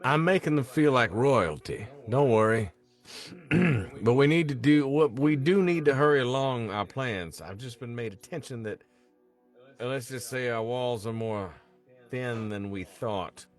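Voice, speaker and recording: A man reading the part of a smug, scheming club conspirator at a film table read, casual and a bit halting. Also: medium pitch, faint music behind, natural voice. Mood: smug, measured.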